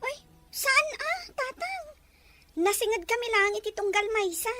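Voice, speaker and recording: A woman's voice: extremely high pitch, big pitch swings, moderate pace, sharp tone, soft background music, heavily acted. A woman playing a sweet, dutiful young daughter, earnest and respectful, in a melodramatic audio drama. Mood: earnest, reassuring. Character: sweet, dutiful student daughter of poor farmer. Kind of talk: melodramatic radio-style drama acting.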